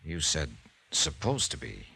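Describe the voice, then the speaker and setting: An actor playing a tough, blunt insurance investigator, narrating and acting in a 1950s radio detective drama with clipped, dramatic delivery. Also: male voice, extremely low pitch, huge pitch swings, a little slow, creaky, sharp tone, breathy edge, exaggerated voice, rough recording. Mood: blunt, insistent.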